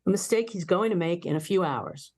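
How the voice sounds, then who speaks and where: A woman's voice: on the low side, a little fast, darkish tone. A woman playing a brash, sardonic young starship officer in an amateur sci-fi comedy table read. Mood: sarcastic. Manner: sardonic, blunt.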